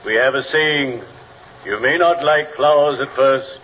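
A male actor playing a genteel, morbid undertaker in old-time radio comedy, speaking formally and slowly with a solemn deadpan delivery. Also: mid-range voice, natural voice. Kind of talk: 1940s-50s radio comedy acting. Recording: noisy, tinny old radio broadcast.

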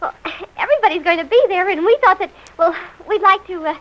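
An actress playing a wheedling, petulant young daughter, eager and halting, in a 1940s radio comedy. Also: female voice, very high-pitched, pushed and bright. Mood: nervous, pleading. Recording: muffled vintage radio recording.

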